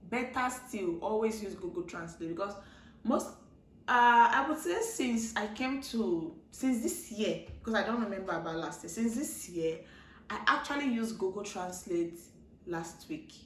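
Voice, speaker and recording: A woman's voice: medium pitch, expressive, measured pace, a little hiss or hum. A young woman from Nigeria talking casually to her viewers, rambling with restarts and repeated words. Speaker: vlogger, international student. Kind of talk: casual talk to camera. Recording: echoey room with faint ambience.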